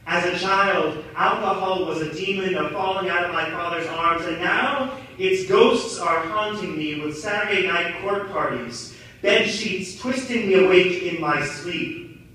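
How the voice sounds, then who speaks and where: A young adult man performing a dramatic spoken-word monologue in a theatrical, deliberate, poetic delivery. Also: high-pitched, pushed and bright. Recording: very echoey, distant mic, noisy room.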